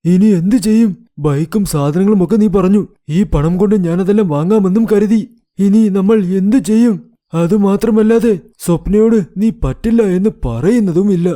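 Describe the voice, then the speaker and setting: A man's voice: high-pitched, moderate pace, warm tone, natural voice. A man playing a worried, fretful older father in a cartoon moral story.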